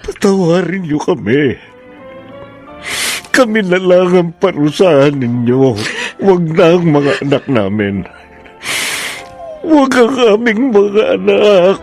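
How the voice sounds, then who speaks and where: A man's voice: sits high, sing-song, darkish tone, faint music behind, exaggerated voice. An actor with a Filipino accent playing a distraught middle-aged father in a radio drama, fervent and pleading for his children. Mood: desperate prayer. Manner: pleading, fervent, slow and emphatic.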